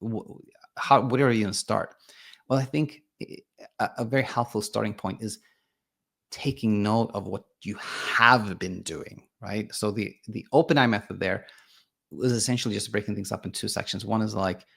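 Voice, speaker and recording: A man's voice: fairly low pitch, fairly even intonation, normal speed, creaky, a bit bright. A man whose first language is Swedish, working as a sleep coach, explaining ideas in a hesitant way with many restarts and fillers. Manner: reads viewer comments aloud. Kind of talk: explanatory monologue.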